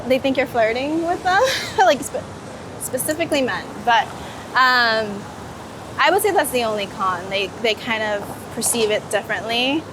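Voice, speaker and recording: Woman w higher voice chatting casually on a city street, very informal, traffic noise behind her. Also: expressive, moderate pace. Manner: informal, chatty, laughs easily. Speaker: street photographer, guest. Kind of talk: casual on-the-street interview chat.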